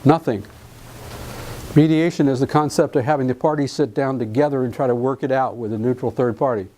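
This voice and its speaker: A man's voice: mid-range voice, fairly even intonation, brisk, warm tone, slight background noise. A middle-aged man from Alabama, giving a live classroom lecture on real estate contracts in a folksy, joking way and quizzing his students. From Alabama, US South.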